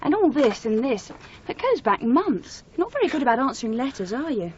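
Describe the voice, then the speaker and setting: An actress playing a pushy, eccentric, unflappable aspiring novelist, with a breezy, forward manner in a radio drama. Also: female voice, high-pitched, big pitch swings, brisk. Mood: confident, teasing. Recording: muffled old radio broadcast with hiss.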